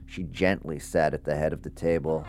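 Deep-voiced ghost hunter narrator. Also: male voice, level tone, moderate pace, creaky, darkish tone, a little hiss or hum. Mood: measured, observant. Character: brooding male ghost hunter narrating his investigation in first person. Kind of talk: scripted first-person audio drama narration.

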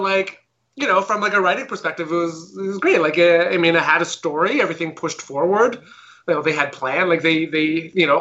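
Bright high-voiced man, excitable panelist. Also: lo-fi sound. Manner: excitable, laughing asides. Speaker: panelist, resident writer. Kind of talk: casual panel chat.

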